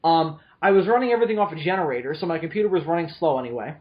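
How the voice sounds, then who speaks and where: A young man from New York with a light New York accent and a high-pitched voice talks casually, telling stories with plenty of ums and restarts. The audio is muffled and lo-fi. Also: very fast. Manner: rambling storyteller with many fillers and restarts. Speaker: podcast host. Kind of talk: casual chat.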